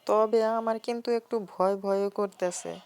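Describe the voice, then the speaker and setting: A woman's voice: medium pitch, steady tone, unhurried, crowd noise, voice pushed up, close to an everyday voice. A woman voicing an anxious, earnest young male office worker visiting a village in a cartoon horror story. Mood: frightened.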